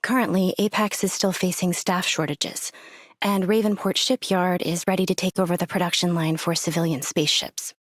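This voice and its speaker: A woman's voice: fairly low pitch, steady tone, moderate pace, no echo, studio quality, natural voice. A woman playing a practical officer in an anime game, speaking as if giving a formal status report. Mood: matter-of-fact.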